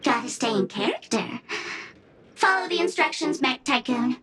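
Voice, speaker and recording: A woman's voice: high voice, animated, moderate pace, background noise. A woman voicing a small, playfully pompous mascot creature acting as a secret agent in a video game. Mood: mock-serious. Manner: clipped, officious. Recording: music behind.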